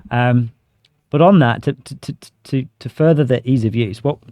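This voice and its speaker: A man's voice: mid-range voice, normal speed, dark tone, no room sound, studio quality. A man explaining a product conversationally as an interview guest, with enthusiasm and everyday examples. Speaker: interview guest, sales director.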